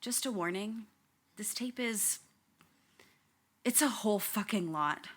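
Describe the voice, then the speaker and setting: An actress playing an earnest young documentary narrator, confiding and grave with a wry edge, in scripted first-person storytelling. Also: female voice, mid-range voice, takes it slowly, fairly bright tone.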